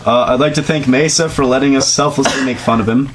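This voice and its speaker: A man's voice: medium pitch, steady tone, quick pace. A man giving an informal mock dramatic reading, joking in asides and stumbling over words now and then. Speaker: amateur reader of comedic fan fiction. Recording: amateur recording with background noise.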